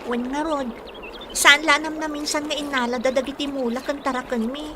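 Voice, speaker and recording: Woman acting a high-voiced, worried village daughter. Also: fairly even intonation, moderate pace, noisy background, natural voice. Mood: anxious. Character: worried young village daughter, frustrated, protesting.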